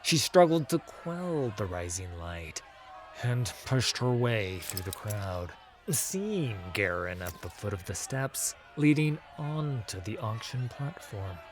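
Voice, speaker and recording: A man narrating a fantasy story as a dramatic audiobook, in a measured, solemn manner. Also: lowish voice, very animated.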